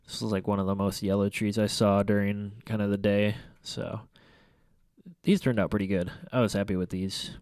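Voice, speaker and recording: A young man talks through his photos with a congested, stuffy nose, rambling and restarting his sentences. His voice sits low and sounds smooth and clear. The sound is dry and close, with no room around it. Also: level tone, normal speed, darkish tone, no echo. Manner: rambling, hesitant, many fillers and restarts. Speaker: photography vlogger. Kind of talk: casual photo review commentary. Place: small room at home by a window.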